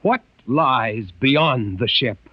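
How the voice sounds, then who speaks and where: An actor playing a bold, hot-headed male rebel with a forceful, impatient manner in 1950s radio drama style. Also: medium pitch, animated, extremely slow. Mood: questioning, earnest. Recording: dull, noisy old radio recording.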